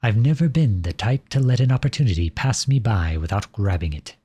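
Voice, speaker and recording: A man playing a flirtatious, silver-tongued royal at a masquerade ball in an ASMR roleplay, speaking in courtly, formal, flattering phrases with a husky voice. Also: on the low side, fairly quick. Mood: intrigued, flirtatious. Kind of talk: ASMR roleplay, scripted romantic monologue addressed to listener.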